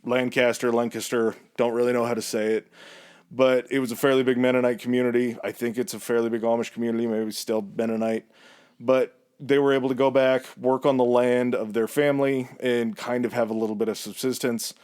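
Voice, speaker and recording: A man's voice: mid-range voice, little pitch movement, fairly quick, darkish tone, clean tone. Chatty male podcast storyteller. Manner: chatty, rambling, laughs easily. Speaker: podcast co-host telling the story. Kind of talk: casual history podcast storytelling.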